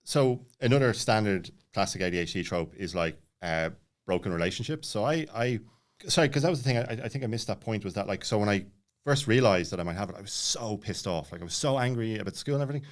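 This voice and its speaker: A man in his late thirties with a low-pitched voice tells a casual personal story. He talks very quickly, looping back and jumping around as he goes, with plenty of filler words. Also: expressive. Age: late 30s. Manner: rambling, jumps around, many filler words. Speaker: podcast guest.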